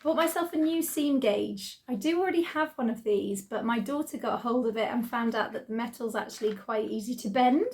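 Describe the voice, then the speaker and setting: Croaky British woman chatting about sewing. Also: on the high side, fairly quick, hardly any pauses. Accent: British English. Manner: chatty, excited, many ums. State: croaky from laryngitis. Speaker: sewing channel host. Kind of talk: casual vlog chat.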